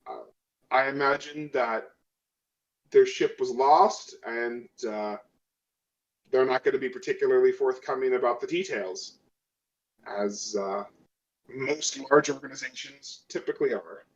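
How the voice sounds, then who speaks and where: A man voicing a guarded, sardonic woman colonial marshal in a tabletop game, in a dry, measured manner. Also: medium pitch, close to an everyday voice. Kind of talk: in-character tabletop role-play. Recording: dull lo-fi audio.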